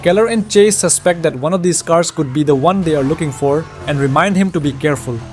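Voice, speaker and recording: A man's voice: a little high, fairly quick, soft background music. A man reading scripted narration that recaps a video game's story.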